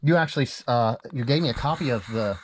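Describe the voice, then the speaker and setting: A man with a mid-pitched voice chats casually as a visiting hobbyist vlogger. He is agreeable and enthusiastic, tending to ramble as he talks. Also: fast, slight background noise, poor audio. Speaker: vlogger visiting a radio collector.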